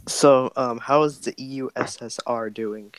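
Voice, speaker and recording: A man's voice: mid-range voice, a little hiss or hum, rough recording. A man from Algeria with a mid-range voice chats on a group call. He is easygoing and jokes around, laughing often between his remarks. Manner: easygoing, joking, quick to laugh. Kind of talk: casual group voice chat. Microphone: computer mic on a voice call.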